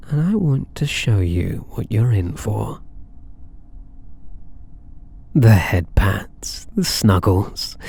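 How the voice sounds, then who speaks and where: A man's voice: on the low side, vocal fry, warm tone, slightly rough, heavily acted. A British man playing a possessive, flirtatious alien catboy thief in an intimate ASMR roleplay, teasing and doting. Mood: seductive, tender. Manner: teasing, doting, purring endearments, unhurried.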